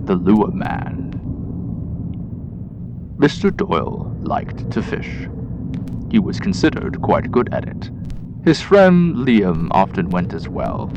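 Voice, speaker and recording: A man's voice: low-pitched, muffled recording, background noise. A man narrating a scripted horror tale in the vein of 1920s radio, with dramatic, ominous delivery. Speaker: storyteller, podcast host. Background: music.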